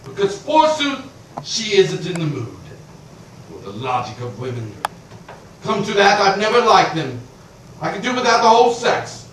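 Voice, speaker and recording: A man plays a middle-aged landowner in a permanent fury, ranting in a raised voice. The sound is far from the mic, with heavy room echo. A faint hiss sits under it, as on an old stage recording. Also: sits high. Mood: grumbling. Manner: ranting, blustering, swings from shouting to grumbling. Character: irascible blustering male landowner creditor, middle-aged. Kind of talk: theatrical stage acting.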